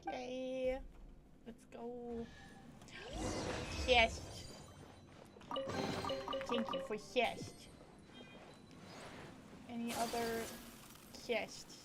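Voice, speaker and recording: A woman's voice: on the high side, very slow, gravelly, long pauses. A woman livestreamer giving casual gameplay commentary with playful, offhand short reactions. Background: game music.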